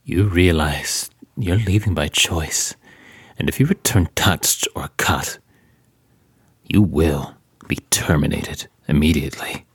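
A man as a very deep, husky commander. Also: no echo, clean studio sound, exaggerated voice. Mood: stern. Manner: formal, measured, authoritative. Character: stern male gate guard commander, authoritative, rule-bound. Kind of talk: audio drama acting.

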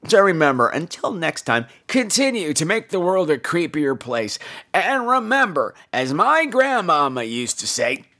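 A man's voice: a little high, sing-song, unhurried, sharp tone. A man hosting a podcast in casual chat, with a campy, theatrical and cheeky delivery. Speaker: podcast host.

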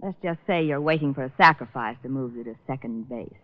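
An actress playing a sardonic, flirtatious wife with coy, teasing comebacks in a 1950s radio drama. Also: female voice, extremely low pitch, quick pace, no echo. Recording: muffled old radio broadcast.

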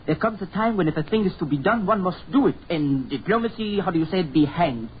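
An actor playing a blunt, mocking French financier with a French accent and courtly, florid speech in a 1940s radio drama. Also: male voice, high voice, expressive, a little fast. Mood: sardonic, argumentative. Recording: muffled, noisy 1940s radio recording.

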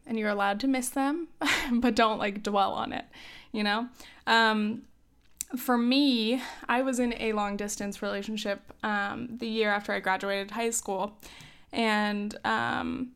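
A young woman in her twenties giving casual advice in a chatty way, with many fillers and small laughs, a little hoarse from coughing. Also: medium pitch, a bit bright. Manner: chatty, lots of "like" and "um", laughs often. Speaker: advice host.

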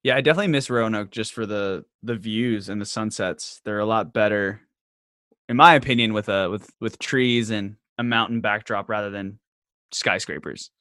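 A man's voice: lowish voice, moderate pace, bright, forceful tone, no room sound. Male podcast host, late 20s, chatty and jokey, dry close sound. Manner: chatty, jokey storytelling with lots of "like". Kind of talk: casual podcast chat.

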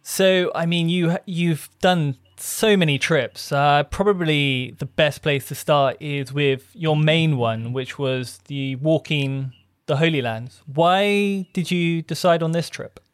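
A man hosting a podcast, giving a measured, welcoming presenter delivery in interviews and a scripted intro. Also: on the high side, clean tone, no room sound, clean studio sound. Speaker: podcast host.

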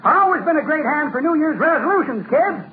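Shrill, folksy old-timer on scratchy radio. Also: male voice, big pitch swings, fast. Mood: jovial, joking. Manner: folksy, colloquial, calls everyone kids and daughter. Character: cheerful, wisecracking old-timer man, folksy and girl-chasing. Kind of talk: 1940s radio comedy acting. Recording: scratchy, narrow-band old radio broadcast.

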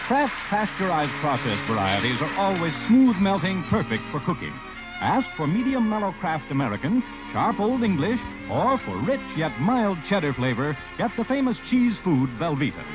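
A male commercial announcer reads a 1940s radio advert with polish and emphasis. His voice is high and animated. Loud music plays behind him on a dull old recording. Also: normal speed.

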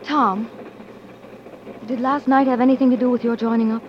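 A woman's voice: fairly high pitch, steady tone, normal speed, a little hiss or hum, lightly acted. Woman acting an ambitious newspaperwoman, muffled vintage radio. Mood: guarded. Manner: earnest, dramatic, clipped wit. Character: ambitious, romantic American newspaperwoman torn by love. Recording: muffled 1940s radio recording.

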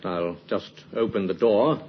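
A man playing a calm, formal, quietly persistent police inspector in an old radio drama. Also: fairly low pitch, a little slow, close to an everyday voice. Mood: calm, probing. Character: composed, quietly persistent male Scotland Yard police inspector. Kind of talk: 1950s radio drama acting. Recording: muffled old radio recording with hiss.

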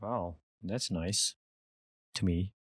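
A woman co-hosts a casual podcast, and her voice sits extremely low. She mostly adds brief, soft-spoken replies and interjections. The recording is dry and close, with no echo. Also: drawn-out words, husky. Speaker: podcast co-host.

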